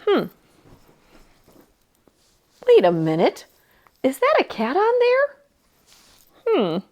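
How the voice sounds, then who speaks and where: A woman's voice: high voice, huge pitch swings, slow, darkish tone, muffled recording. A woman presenting a children's library storytime, chatting and reading aloud in a playful, enthusiastic way.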